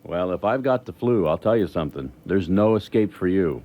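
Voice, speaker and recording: A gruff American man hosting morning radio, grumbling and making deadpan mock threats at his co-host. His voice moves up and down a lot as he talks. The sound is dull and lo-fi, like an old broadcast tape. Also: sits low, fairly quick. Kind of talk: bantering morning radio chat.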